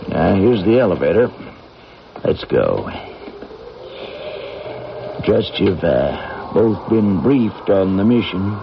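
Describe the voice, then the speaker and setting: An actor playing a measured, fatherly space mission controller in a 1980s radio drama. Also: low voice, very animated, gravelly, exaggerated voice. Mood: genial, cautioning. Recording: muffled old radio broadcast, noisy ambience.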